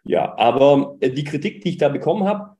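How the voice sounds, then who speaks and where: A man's voice: on the high side, lively intonation, fairly quick, warm tone. A man speaking as a startup founder in an interview, giving conversational, detailed explanations of his experiences.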